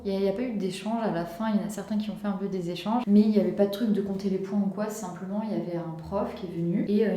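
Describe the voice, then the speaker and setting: A woman's voice: mid-range voice, dark tone. A young woman from France chatting casually and enthusiastically to camera in a lifestyle vlog, full of casual slang. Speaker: lifestyle vlogger. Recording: echoey room.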